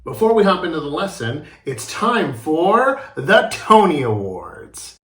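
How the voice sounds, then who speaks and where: An encouraging, expressive man teaching a children's drama lesson and narrating a storybook. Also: a little high, unhurried.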